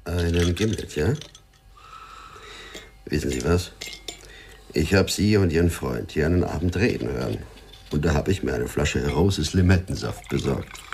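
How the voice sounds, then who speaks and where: Very deep, gravelly bartender. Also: male voice, animated, moderate pace, pauses often, a little mellow, a little hiss or hum, full character voice. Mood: obliging. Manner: obliging. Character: friendly, attentive male bartender. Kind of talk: German radio crime drama dialogue.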